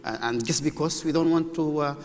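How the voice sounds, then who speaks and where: A man's voice: fairly high pitch, darkish tone. Man debating in a reverberant hall. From Sudan. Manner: earnest, halting, with frequent fillers. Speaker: debate panelist. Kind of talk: formal public debate. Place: debate hall with live audience. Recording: long reverb of a large hall.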